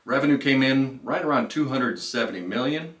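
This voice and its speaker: Echoey, distant man, bright voice. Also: mid-range voice, animated, fairly quick. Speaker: financial analyst presenter. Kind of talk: informal business market commentary. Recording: roomy echo, distant microphone.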